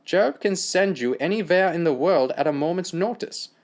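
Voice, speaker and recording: A man's voice: high voice, expressive, normal speed, pitched above the performer's own voice. A man voicing a stern sixty-year-old German army doctor with a German accent, blunt and scornful. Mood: brisk.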